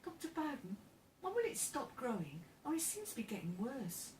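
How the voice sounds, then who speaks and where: A woman's voice: high voice, very animated, normal speed, darkish tone, voice pushed up. A woman voicing a plucky, kind thirteen-year-old farm boy with an earnest, informal manner in a storybook reading. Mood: pleading. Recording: roomy, distant mic, faint background noise.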